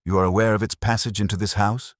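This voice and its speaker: A man voicing a calm, implacable detective in an audiobook mystery, with a clipped, authoritative and deliberate delivery. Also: fairly low pitch, no echo, clean studio sound, voice pushed up, close to an everyday voice. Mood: probing, clipped.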